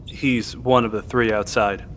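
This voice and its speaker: An actor playing a dutiful junior navy officer in an audio drama, respectful and cautious but frank. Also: male voice, on the low side, steady tone, measured pace, a bit bright, slight background noise. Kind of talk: scripted audio drama acting.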